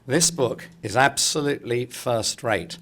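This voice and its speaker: A middle-aged man with a croaky, hoarse voice opening a conference in a hotel meeting room, chatty and joking with the audience. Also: mid-range voice, unhurried, fairly bright tone. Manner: chatty, jokey, addresses the room directly. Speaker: speaker opening a political congress.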